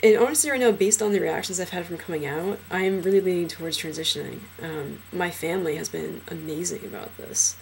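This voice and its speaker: A young transgender man in a casual personal vlog, talking hesitantly with many fillers and restarts, his trained low voice deepened further by a cold. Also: brisk, creaky, few pauses, slight background noise. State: voice lowered by illness.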